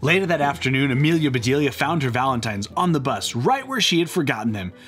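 A man in his thirties reads a picture book aloud as a storytime host, with expressive, playful comic delivery. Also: medium pitch, quick pace, faint music behind. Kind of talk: animated children's picture-book narration.

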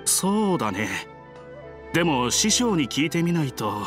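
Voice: medium pitch, sing-song, unhurried, music in the background. A performer playing a busy, polite young apprentice blacksmith boy in an anime game, speaking casually. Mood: apologetic. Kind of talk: Japanese anime game voice acting.

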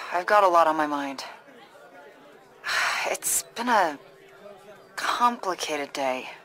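A woman voicing a brooding, troubled female warrior in a video game, speaking low and husky. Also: animated, slow pace, bright, forceful tone, some chatter behind, full character voice, poor audio. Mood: weary. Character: brooding green-skinned female warrior assassin.

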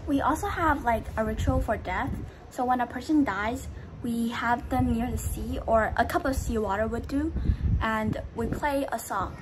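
Brief: teen girl from Hong Kong, school presenter, a bit high, chatty, phone at arm's length, noisy background. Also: normal speed. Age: teens. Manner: energetic, chatty with many "like" fillers. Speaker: student presenter. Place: indoor room and school corridor. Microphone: phone camera at arm's length.

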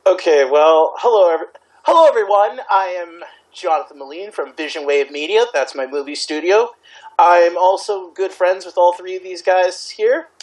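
A man chatting casually on an online group call as an amateur voice actor and co-reader. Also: fairly high pitch. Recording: distant mic on internet call.

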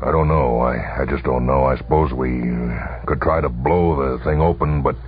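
A man's voice: rumbling low, normal speed. Guy doing a hesitant old-radio army general, stammering and unsure, dull lo-fi sound, faint music. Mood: uncertain, troubled. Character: weary, uncertain male army general. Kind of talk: radio story dialogue.

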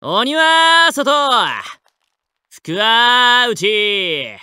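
A man voicing a cheeky, cat-cursed young warrior in an anime game, brash and rough with cat-like tics. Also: very high voice, sing-song, drawn-out words, bright, forceful tone, dry recording, clean studio sound, heavily acted. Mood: brash, playful.

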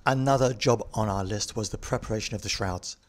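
A middle-aged man who co-hosts a sailing vlog as skipper, talking in a relaxed, easygoing way and narrating the boat work. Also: on the low side, a little fast, a little hiss or hum. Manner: relaxed, easygoing, trails off mid-sentence. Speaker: skipper and co-host. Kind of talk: casual chat and voice-over narration.

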